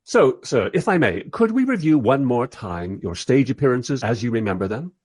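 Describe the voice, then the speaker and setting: An actor playing a mild, polite time-travelling interviewer who narrates his story wryly in a radio play, with a husky voice. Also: mid-range voice, big pitch swings, moderate pace, a little mellow. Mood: polite, dry. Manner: wry, polite. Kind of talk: first-person radio play narration and dialogue.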